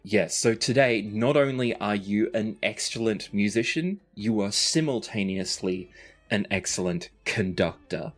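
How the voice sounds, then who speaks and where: A man's voice: fairly low pitch, measured pace. A man narrating a tabletop roleplaying game as game master, with music playing behind him. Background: background music.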